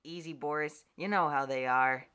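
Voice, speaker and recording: A woman's voice: low-pitched, moderate pace, a bit bright, clear, resonant tone. A woman voicing a laid-back, kindly young male wolf-dog in a cartoon fan dub, easygoing and wry. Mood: reassuring.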